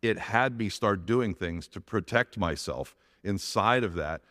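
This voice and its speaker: A man's voice: fairly low pitch, unhurried, pushed and bright, in a large hall. A middle-aged man with a big voice, giving an earnest personal testimony as a church elder, often restarting his sentences.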